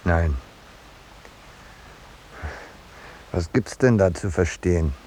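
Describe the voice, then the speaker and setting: An actor playing a peaceable, unworldly landscape painter in a 1950s German radio play, speaking in a dry, polite, reserved way. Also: male voice, low-pitched, normal speed, darkish tone, natural voice. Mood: dryly unimpressed. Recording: noisy 1950s radio drama recording.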